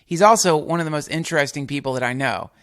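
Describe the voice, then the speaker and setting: A man's voice: on the high side, quick pace, fairly bright tone, dry recording, clean studio sound. A man hosting a casual podcast from a small home setup, rambling and swearing as he introduces and questions his guest. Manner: rambling, profane, playful intros. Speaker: podcast host. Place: home studio.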